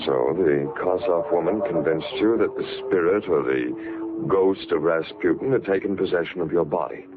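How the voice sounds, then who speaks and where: An actor playing a level-headed, skeptical man with a measured, doubting manner, in 1940s radio mystery style. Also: deep voice, music in the background, natural voice. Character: skeptical, level-headed male listener questioning a troubled man. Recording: muffled vintage radio recording.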